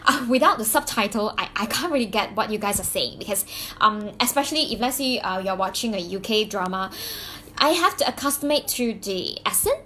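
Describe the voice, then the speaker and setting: A woman's voice: a little high, sharp tone, slight background noise. A woman from Malaysia with a Malaysian accent, whose first language is Mandarin, telling her own story to camera in a chatty way with many fillers and restarts. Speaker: vlogger and coach.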